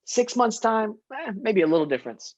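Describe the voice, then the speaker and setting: Animated young man, dry sound. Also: sits high, moderate pace, dry recording, dull audio. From Syria. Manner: animated, emphatic, laughs often. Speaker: guest actor. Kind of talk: casual interview chat.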